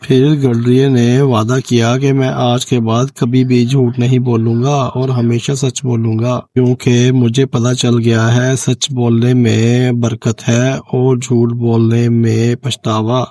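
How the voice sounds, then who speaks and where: A man narrates a children's cartoon story in a brisk, unvarying way. His pitch stays mid-range and barely moves, and the dry, close recording has no room sound. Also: level tone, warm tone, no echo.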